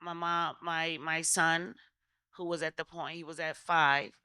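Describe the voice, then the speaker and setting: A woman's voice: sits low, flat delivery, measured pace, sharp tone, smooth, dry recording, clean studio sound. A middle-aged American woman from Connecticut speaking as a legislator on a panel, conversational and often interrupting herself. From Connecticut, United States. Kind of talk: panel discussion, personal anecdote.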